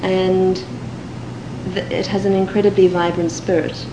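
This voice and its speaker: A woman with a Cape Town South African accent, reading a set passage and then talking in a measured, thoughtful way with the odd um. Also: mid-range voice. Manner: measured and thoughtful, with occasional ums. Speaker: dialect archive speaker. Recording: echoey room with steady background hiss.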